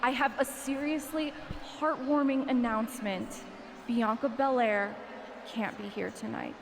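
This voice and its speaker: An actress playing a smug, scheming wrestler in a video game, with an American accent and sarcastic mock sincerity. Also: female voice, fairly high pitch, a little slow, noisy background. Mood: mock-sincere, taunting. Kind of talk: video game cutscene acting, wrestling promo.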